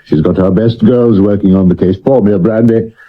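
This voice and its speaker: An actor playing a hungover, irritable gentleman sleuth with an English accent, grumbling theatrically in a radio drama. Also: on the low side, fairly even intonation, brisk, close to an everyday voice. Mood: groggy, irritable. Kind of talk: 1970s British radio drama acting. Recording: dull vintage radio recording.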